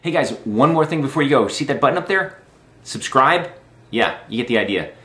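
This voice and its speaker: A man hosting a casual software tutorial, talking informally and thinking aloud as he demonstrates. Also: animated, fairly quick, husky, no room sound. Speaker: tutorial host. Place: screen-recording voice-over. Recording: loud electronic bass music behind. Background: dubstep bass and drum loops.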